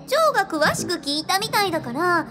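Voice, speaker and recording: A woman's voice: very high voice, sing-song, quick pace. A woman acting a coy, bubbly, girlish female spirit in a radio drama, cutesy and sweetly wheedling. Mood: chatty, explaining. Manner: cutesy, speaks of herself by name. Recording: music behind the voice.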